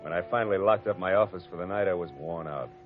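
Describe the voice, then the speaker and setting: Hard-boiled private eye, played by a man, deep voice, dry wit, muffled old radio with faint music. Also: fast talker, natural voice. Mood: wry. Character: hard-boiled male private detective narrator. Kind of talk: 1940s radio drama acting.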